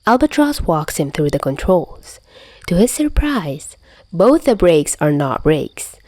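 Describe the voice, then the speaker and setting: A woman's voice: on the low side, very animated, a little slow, a little mellow, no echo. A woman reading scripted fiction expressively as an amateur audio narrator on a close home microphone. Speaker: podfic reader.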